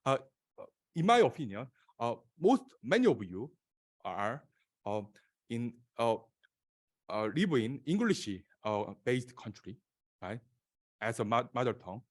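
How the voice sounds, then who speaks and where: A man from South Korea with a Korean accent and Korean as his first language, presenting slides at a conference in an auditorium with many halting pauses. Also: mid-range voice, big pitch swings. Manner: halting, many filler sounds and restarts. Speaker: conference speaker.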